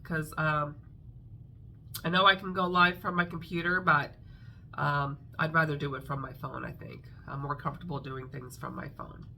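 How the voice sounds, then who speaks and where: Middle-aged lady vlogging about her crafts, stuffy nasal voice, kinda low but bright, rambles with lots of ums, faint room hum. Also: fairly even intonation, normal speed, vocal fry. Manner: chatty, rambling with many fillers. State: congested, nasal from a sinus infection. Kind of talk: casual chat to camera.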